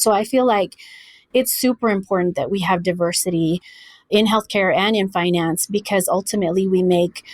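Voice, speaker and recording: A woman from El Paso, Texas, answering interview questions in an earnest, measured way. She lingers on the words that matter. The recording is close and dry, with no room sound. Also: fairly low pitch, dry recording. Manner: measured, earnest explaining, slows on key words. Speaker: guest.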